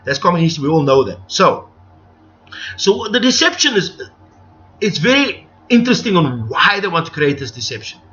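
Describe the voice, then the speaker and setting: A middle-aged man with a South African accent talks live to his viewers, opinionated and digressive, often restarting his sentences. His voice sits high and sharp. The stream sounds dull and lo-fi, with faint music underneath. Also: sing-song, normal speed. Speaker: livestream host. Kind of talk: unscripted live commentary to viewers.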